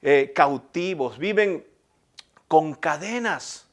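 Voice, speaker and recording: Ecuadorian pastor, male, high-pitched, emphatic sermon delivery with repeated lines and questions. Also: expressive, takes it slowly. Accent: Ecuadorian Spanish, Guayaquil. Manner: emphatic, repetitive preaching with rhetorical questions.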